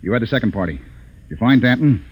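An actor playing a stern spaceship captain who gives clipped, authoritative orders in 1950s science fiction radio style. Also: male voice, medium pitch, lively intonation, fast, husky, poor audio. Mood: stern, urgent. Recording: old radio recording, faint noise.

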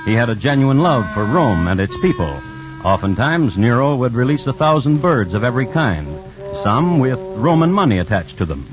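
An actor playing a wry, erudite storyteller, hosting and narrating an old radio crime drama in a dry, measured manner. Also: male voice, medium pitch, close to an everyday voice. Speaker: host and narrator. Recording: muffled old radio recording.

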